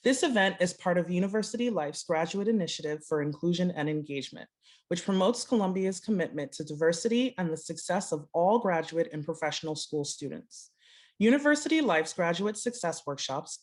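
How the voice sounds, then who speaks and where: A woman's voice: lowish voice, expressive, a little fast. A woman hosting an online workshop over a video call, reading out a prepared, formal introduction. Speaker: host introducing a workshop speaker. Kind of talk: scripted event introduction.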